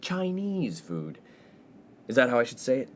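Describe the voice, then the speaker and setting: A man's voice: mid-range voice, huge pitch swings, unhurried, slight background noise. An actor playing a pompous, boastful young college man who fancies himself a ladies' man in a comic radio play. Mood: breezy. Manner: pompous, boastful, theatrical delivery. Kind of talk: 1950s-set comedy radio play acting.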